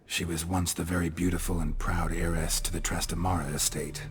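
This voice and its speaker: Casting: male actor as gruff, sardonic fantasy monster hunter, middle-aged, American accent, very deep gravelly voice, laconic and deadpan delivery, music underneath. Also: brisk, lightly acted. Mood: grave storytelling. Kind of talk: fantasy video game dialogue.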